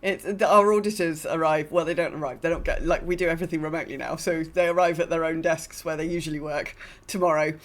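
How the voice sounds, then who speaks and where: A woman's voice: deep voice, steady tone, fast, few pauses. A woman chatting casually as a podcast co-host. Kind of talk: casual chat.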